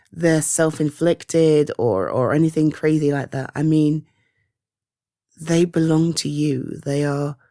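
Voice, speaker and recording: A British woman performing an ASMR roleplay as a caring partner-like confidante, speaking softly and hesitantly with reassurance. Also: sits low, no room sound, studio quality, heavily acted. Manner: hesitant, soft reassuring, halting pauses. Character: caring, reassuring woman comforting a loved one. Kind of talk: ASMR roleplay, one-sided intimate conversation.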